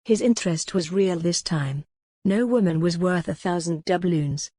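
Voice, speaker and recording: A woman's voice: lowish voice, normal speed, warm tone, husky, no echo, clean studio sound. A woman reading a scripted work of fiction as an audiobook narrator.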